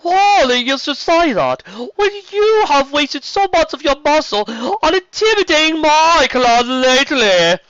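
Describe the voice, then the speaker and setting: An elegant, cutting sea serpent queen from a storybook, acted by a man. The voice is pitched far up, sharp and sweeping, with grand condescension. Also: very animated, a little slow, hardly any pauses, no room sound, dull audio, heavily acted. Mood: haughty, scornful.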